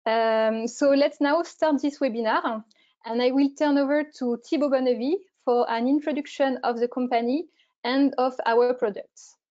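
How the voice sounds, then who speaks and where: A woman opens and hosts an online webinar in a formal, scripted way, dotted with fillers. Her voice is high yet mellow. The audio is slightly dull, like a conference call. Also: steady tone, normal speed. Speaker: event manager hosting a webinar.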